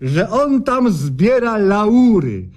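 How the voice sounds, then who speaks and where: A man's voice: sits high, very animated, extremely slow, darkish tone, exaggerated voice. An actor playing an aggrieved, envious male patient in a satirical radio sketch, with a folksy, grumbling way of talking. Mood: bitter, grumbling.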